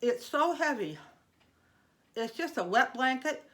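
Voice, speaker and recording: A woman teaching an online singing lesson in a gentle, chatty way, her voice a little congested from allergies. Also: sits high, animated, unhurried. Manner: gentle, chatty, demonstrating vocal sounds.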